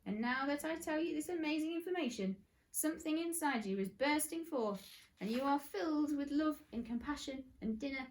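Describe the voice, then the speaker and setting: A woman's voice: high voice, expressive, a little fast, pitched above the performer's own voice, low-quality recording. A woman voicing an eccentric, theatrical man who plays detective in a children's story, grand and pompous. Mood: grand, triumphant.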